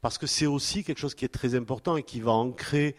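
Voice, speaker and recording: A man in his fifties from France, speaking at a panel in a halting way with many fillers and restarts. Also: medium pitch. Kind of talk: hesitant spoken talk at a public panel. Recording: long hall reverb.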